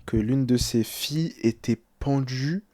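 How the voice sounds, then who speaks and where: A man's voice: mid-range voice, measured pace, dark tone, no room sound. A young man speaking as a streamer in casual live reaction, translating text aloud with slangy, hesitant, uneasy commentary.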